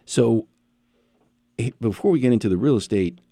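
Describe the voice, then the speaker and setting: A man's voice: medium pitch, animated, moderate pace, mellow, no echo. A man hosting an interview podcast on a headset mic, chatty and rambling with many follow-up questions. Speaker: podcast host. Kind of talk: casual interview chat.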